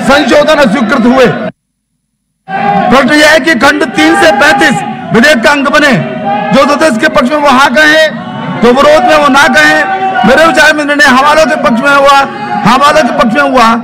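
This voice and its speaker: A man chairing a parliament session, reading formal procedure in formulaic, drawn-out calls. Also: very high-pitched, poor audio. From India. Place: large indoor legislative chamber. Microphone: desk microphone. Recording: loud shouting crowd in chamber.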